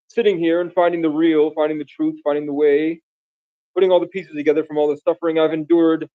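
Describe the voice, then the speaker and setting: Man livestreaming, rambling and grandiose, somewhat high voice, mellow tone, dry, dull lo-fi sound. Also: dry recording. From Bronx, raised in Vermont. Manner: grandiose, meandering, casual slang. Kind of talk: rambling live stream chat with viewers.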